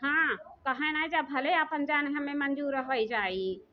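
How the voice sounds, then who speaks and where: A woman plays a wronged village daughter-in-law in a cartoon comedy. She complains in a high, rather slow voice with rural speech. The recording is slightly muffled. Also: slight background noise. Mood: aggrieved. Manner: complaining, plaintive. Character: aggrieved, poor elder daughter-in-law of village household. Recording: dull, muffled audio.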